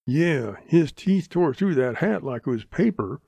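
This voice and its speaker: A man's voice: a little high, expressive, measured pace, vocal fry, mellow, husky, no echo, voice pushed up, exaggerated voice. A man voicing a folksy, frank older man with rustic Western phrasing in an audiobook. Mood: grateful.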